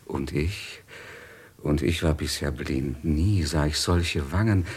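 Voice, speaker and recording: A foppish, self-satisfied cavalier in a vintage radio play. His voice is very deep and husky. He speaks in pompous, florid gallantries, flattering at every turn. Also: takes it slowly, exaggerated voice. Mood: ardent flattery. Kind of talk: 1950s German radio play acting.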